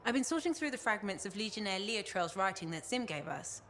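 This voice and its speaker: A woman playing a studious, supportive scholar in a video game, explaining in a measured way. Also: mid-range voice, animated, fairly bright tone, a little hiss or hum, natural voice. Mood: focused, supportive. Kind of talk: video game cutscene acting.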